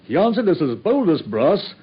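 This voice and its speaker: An actor playing a police inspector in a radio drama, questioning a witness in a measured, probing way with a husky voice. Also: male voice, a little high, sing-song, highs cut off.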